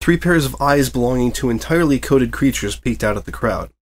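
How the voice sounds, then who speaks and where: Man narrating an expressive story. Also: medium pitch, a little fast. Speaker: fan fiction narrator.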